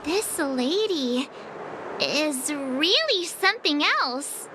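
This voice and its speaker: A woman's voice: high-pitched, very animated, drawn-out words, noisy background, full character voice. An actress playing a fiery, bold and outspoken young female fighter in a video game. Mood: disbelieving. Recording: game music behind.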